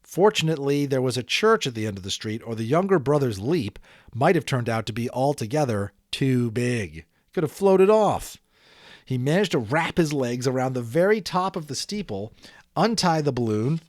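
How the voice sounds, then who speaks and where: A man's voice: mid-range voice, animated, moderate pace, no echo, studio quality. A man reading a children's book aloud expressively, adding casual explanatory asides for young listeners. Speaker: audiobook reader.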